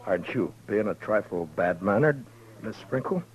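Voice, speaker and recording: An actor playing a stern, steady frontier marshal in a 1950s radio drama, speaking firmly and evenly. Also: male voice, lowish voice, lightly acted. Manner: firm, level, unhurried. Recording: dull 1950s radio broadcast.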